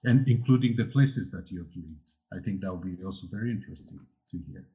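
Low-voiced male host, halting. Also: dark tone. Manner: slow, halting with frequent uh's. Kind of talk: interview questions.

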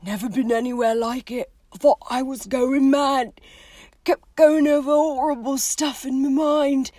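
A woman voicing a big, rough-spoken, soft-hearted and despairing gamekeeper man in an audiobook, with drawn-out, emotional speech. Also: sits high, steady tone, no room sound, studio quality, pitched above the performer's own voice. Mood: haunted, emotional. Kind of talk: audiobook character voice.

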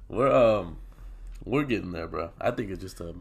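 A man's voice: low-pitched, animated, normal speed, creaky, slightly rough. A young man co-hosting a podcast in casual chat, sick and coughing, talking in a loose, profane, rambling way. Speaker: podcast co-host.

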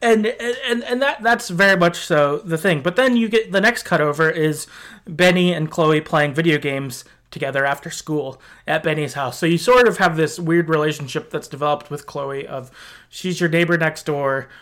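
A man's voice: a little high, moderate pace, a bit bright. A man hosting a podcast, talking casually and a little hesitantly as he recaps a video game's story. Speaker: podcast host.

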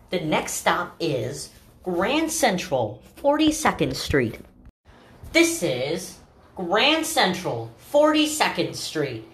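A woman delivers scripted transit announcements in a slightly low voice. She speaks slowly and formally, with pitch that rises and falls widely across each phrase, and sets listed items apart with clear pauses. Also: a bit bright. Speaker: subway train announcer.

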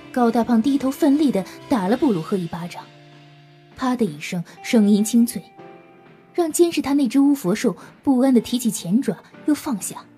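A woman's voice: a little high, warm tone. A woman narrating a scripted audio drama as a storyteller, in a dramatic style.

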